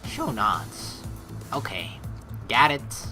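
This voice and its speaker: A man reading a game aloud as a let's play host, with excitable commentary and dramatic narration. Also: fairly low pitch, drawn-out words, music in the background.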